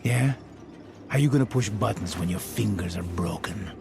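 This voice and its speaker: A man's voice: low-pitched, moderate pace, gravelly. A man playing a weary, sardonic secret agent in a video game, with a dry delivery. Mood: menacing. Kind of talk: video game cutscene acting. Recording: music behind the voice.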